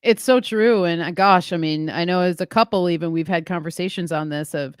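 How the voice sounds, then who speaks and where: A woman's voice: on the low side, brisk, clean tone, dry recording, clean studio sound. A woman hosting a podcast, talking casually with lots of ums and likes. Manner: rambling, many ums and likes. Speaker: podcast host. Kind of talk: casual spiritual podcast chat.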